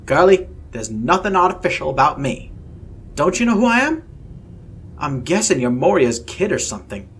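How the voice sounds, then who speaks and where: A man voicing a rude, gruff male scientist living inside a computer, with a broad Australian accent and a brash manner. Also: on the high side, big pitch swings, moderate pace, exaggerated voice. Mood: annoyed. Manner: brash, annoyed, shouting.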